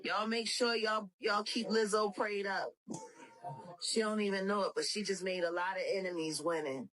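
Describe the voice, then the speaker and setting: A woman's voice: mid-range voice, fairly even intonation, normal speed, fairly bright tone, rough recording. A woman who says she has a husband and children, giving sassy, mocking commentary with lots of emphasis. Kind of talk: animated commentary.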